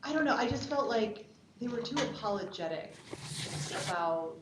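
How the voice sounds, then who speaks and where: A woman giving feedback in a casual group discussion, speaking hesitantly and softening her opinions. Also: fairly high pitch, lively intonation, moderate pace. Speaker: participant giving script feedback. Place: indoor room at a table read, group around a table. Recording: distant mic in echoey room, noisy.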